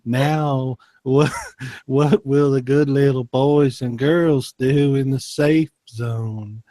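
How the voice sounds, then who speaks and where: A man's voice: mid-range voice, steady tone, slow, mellow, no room sound, natural voice. A man voicing a worried, pleading zoo animal in a spoof storybook. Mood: dismayed.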